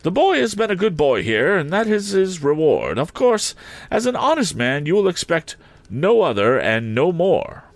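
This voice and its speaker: A man's voice: on the high side, very animated, moderate pace, no room sound, pitched above the performer's own voice. A man voicing a cold, imperious old rich woman in a read-aloud novel, speaking in clipped commands. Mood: cold, commanding. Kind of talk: audiobook character voice.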